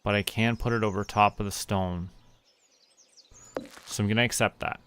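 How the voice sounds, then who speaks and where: A man from Canada streaming a farming game, talking to himself in a relaxed, muttering way as he plays. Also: on the low side, normal speed, slight background noise. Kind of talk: casual gameplay commentary. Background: game music.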